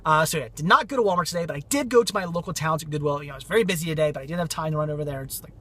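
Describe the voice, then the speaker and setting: A man chats casually about his finds, rambling and upbeat. His voice sits high and sounds bright. He mentions he is losing it to a cold. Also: racing, breathy edge. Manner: rambling, enthusiastic, repeats "very cool". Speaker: vlogger showing thrift finds. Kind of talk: casual vlog chat.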